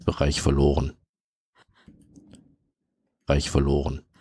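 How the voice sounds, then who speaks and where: Ship's computer for sci-fi audio drama, acted: extremely low, terse, factual, close dry sound. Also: monotone, slow pace, warm tone, husky, no echo, heavily acted. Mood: matter-of-fact. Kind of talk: scripted audio drama acting.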